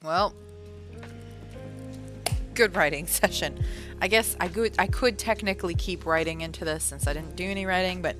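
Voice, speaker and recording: A woman in her thirties with a low voice talks casually on a live stream and drifts from one thought to the next with amused laughs. Music plays clearly beneath her. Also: moderate pace, long pauses. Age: 30s. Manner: rambling, self-amused, laughs often.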